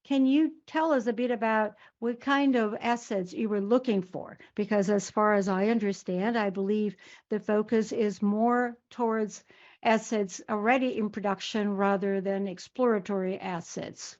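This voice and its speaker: A woman interpreting live on a corporate earnings call in a halting way, repeating and rephrasing words. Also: medium pitch, fairly even intonation, darkish tone. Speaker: conference interpreter. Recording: dull, lo-fi conference call audio.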